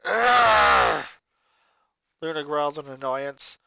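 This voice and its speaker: Expressive fan fiction narrator, lively pitch, bright tone, dry close sound. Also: normal speed, dry recording, poor audio. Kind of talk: storybook narration.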